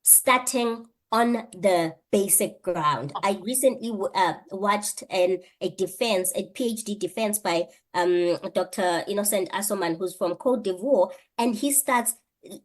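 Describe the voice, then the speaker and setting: A woman's voice: medium pitch, measured pace. A woman, answering an interview question earnestly, thinking aloud with rhetorical questions and frequent restarts. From South Africa. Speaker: guest, PhD candidate and lecturer.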